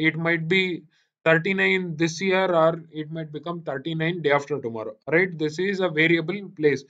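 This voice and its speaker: A man's voice: fairly high pitch, fairly bright tone. A man with an Indian accent giving a live online programming lecture, repeating himself and often checking that students follow. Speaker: programming teacher. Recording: dull, lo-fi online meeting audio.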